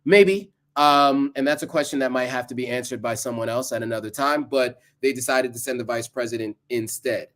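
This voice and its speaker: A man hosting a live stream, talking through a presentation and answering viewer comments in a casual, halting way with many ums. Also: mid-range voice, a bit bright. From United States. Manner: conversational, many ums and restarts. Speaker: livestream host.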